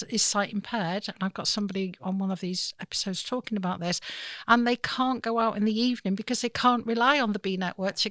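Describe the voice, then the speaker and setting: A woman's voice: mid-range voice, fairly bright tone, no echo. Earnest woman hosting a podcast. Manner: earnest, thinks aloud with fillers and restarts. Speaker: podcast host. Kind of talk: conversational podcast discussion.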